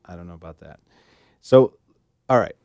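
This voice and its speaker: A man's voice: low-pitched, animated, normal speed, darkish tone, no room sound, studio quality. A middle-aged man co-hosting a casual podcast, with a wry, joking way of talking. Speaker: podcast co-host.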